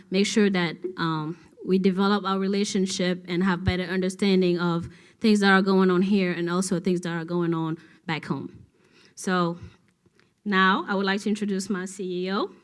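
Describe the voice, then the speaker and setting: A woman's voice: medium pitch, fairly bright tone, smooth. A young woman from Senegal, an organization's director, making formal introductions at a public event with frequent fillers and restarts. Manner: halting, with many fillers and restarts. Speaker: executive director of a nonprofit.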